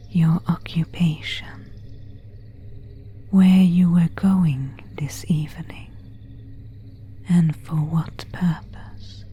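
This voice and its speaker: A woman voicing an elegant, melancholy vampire in an ASMR roleplay, in a breathy whisper with formal, old-fashioned phrasing. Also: deep voice, mellow, full character voice. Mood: softly courteous, wistful. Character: pale, elegant, melancholy female vampire of an ancient castle.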